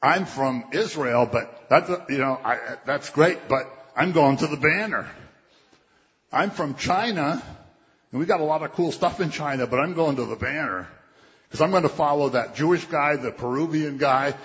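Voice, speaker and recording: A man's voice: a little high, moderate pace, a bit bright. A man preaching a sermon in a conversational way, quoting scripture and acting out what people would say. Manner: conversational preaching, quotes scripture, acts out voices. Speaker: pastor. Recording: dull, muffled sound with long hall reverb.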